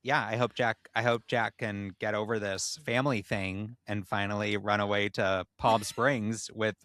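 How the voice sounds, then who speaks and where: A man's voice: lowish voice, steady tone, normal speed, fairly bright tone, dry recording. A man co-hosting a podcast, with a playful, theatrical way of reading and chatting. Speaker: podcast co-host. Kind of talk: casual chat and dramatic reading of a comic erotic story.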